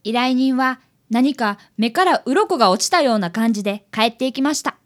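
A woman's voice: fairly high pitch, expressive, moderate pace, lightly acted. A woman playing a polite, innocent young boy, an apprentice detective who narrates a radio drama. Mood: narrating. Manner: polite, storytelling.

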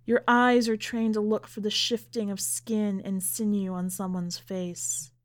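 Woman reading in a measured literary podcast style. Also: mid-range voice, level tone. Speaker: podcast host, editor and story reader. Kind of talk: literary story narration and podcast commentary.

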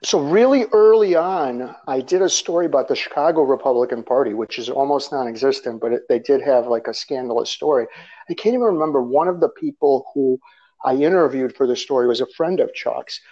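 A man's voice: mid-range voice, fairly quick, warm tone. Rambling man, slightly lo-fi audio. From Russia. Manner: rambling, self-deprecating, with fillers and laughs. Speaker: guest author. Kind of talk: conversational interview.

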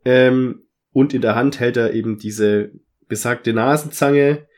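A man's voice: mid-range voice, a little slow, a little mellow. A man with a cold, summarizing a book chapter in a casual podcast chat with many fillers. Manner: chatty, with many fillers and laughs. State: has a cold. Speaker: podcast co-host.

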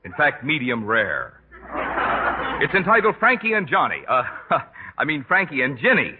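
A man's voice: on the high side, wildly swooping pitch, normal speed, breathy edge, exaggerated voice. A man announcing an old-time radio comedy sketch in a mock-grand, theatrical way. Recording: old tinny radio broadcast over music.

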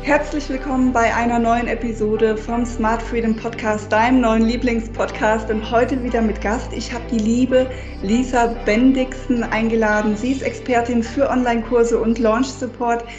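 A woman's voice: on the high side, fairly even intonation, moderate pace, few pauses, muffled recording, over music. A woman hosting a podcast, speaking in an upbeat presenter style as she introduces and interviews a guest.

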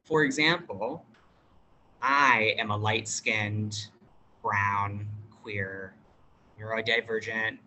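A non-binary American teacher at an international school, speaking in a relaxed interview over a video call. They talk thoughtfully and hesitantly, with many fillers and restarts. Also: medium pitch, takes it slowly, long pauses, slight background noise. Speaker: workshop facilitator.

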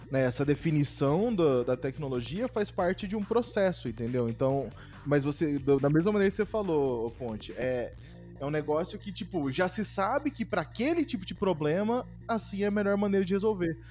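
A man's voice: on the high side, expressive, moderate pace, a little mellow, faint music behind. Hesitant Brazilian male podcast host. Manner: hesitant, many fillers, thinking aloud. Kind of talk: casual tech discussion.

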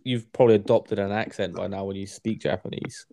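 A young man with a low voice who stays calm while the others get loud. He teases in a dry, understated way and talks in casual banter. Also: brisk, vocal fry, slight background noise.

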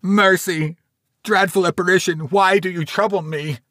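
A man voicing a fearful, stubborn old miser, strained and pleading with a pitched-up voice. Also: lively intonation, a little slow, dry recording, clean studio sound. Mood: terrified.

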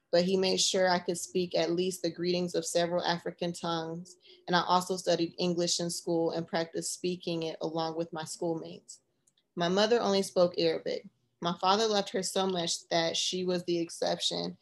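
A woman narrating a memoir as an audiobook, reading in a measured, even way. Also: on the low side, creaky.

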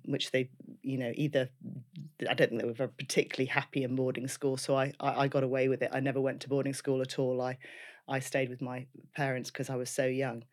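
A woman's voice: rumbling low, darkish tone. Scouse lady in her 50s chatting about her life, laughs a lot, lots of ums. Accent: Liverpool. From Liverpool, England. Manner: chatty, laughs often, many fillers. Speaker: guest. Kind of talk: casual life-story interview.

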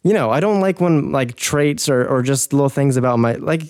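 A man's voice: medium pitch, lively intonation, normal speed, darkish tone, no echo. Hesitant young American man, dry sound. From United States. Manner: hesitant, thoughtful, many fillers and restarts. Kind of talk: casual chat.

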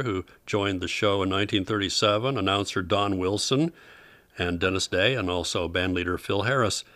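A man's voice: fairly low pitch, fairly bright tone. A man hosting a program, giving scripted introductions and background in a presenter's style. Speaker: host of an old-time radio program.

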